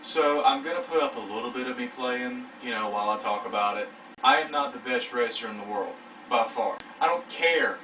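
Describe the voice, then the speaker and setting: A man's voice: mid-range voice, big pitch swings, a little fast, sharp tone, slight background noise. A man in his late teens chatting casually in a vlog, rambling in an informal, self-deprecating way. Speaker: vlogger. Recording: distant mic, clear music behind.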